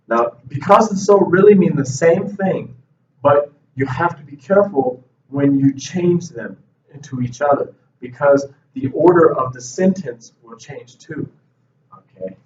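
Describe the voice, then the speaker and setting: Slow, patient male English teacher, roomy. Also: a little high, a bit bright, a little hiss or hum. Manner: slow, patient explaining, frequent "okay". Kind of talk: whiteboard grammar lesson. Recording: roomy, distant, dull classroom audio.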